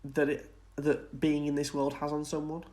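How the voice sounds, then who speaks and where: A man's voice: on the high side, monotone, moderate pace, darkish tone. A man chatting casually and enthusiastically as a podcast co-host about film and TV.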